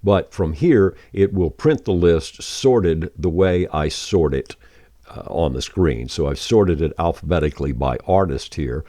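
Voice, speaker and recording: A man presenting a casual, folksy software tutorial as a voice-over on a close microphone. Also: low voice, lively intonation, a little slow, dry recording. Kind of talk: casual screen-share software walkthrough.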